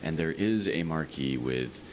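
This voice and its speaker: A man's voice: very deep voice, animated, measured pace, a little hiss or hum. A man co-hosting a podcast in casual chat, matter-of-fact, explaining details step by step. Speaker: podcast co-host.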